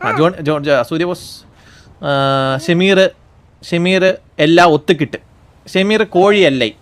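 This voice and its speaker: A man's voice: fairly high pitch, unhurried. A man with a Kerala accent in improvised game roleplay, acting as a bossy, repetitive mediating elder.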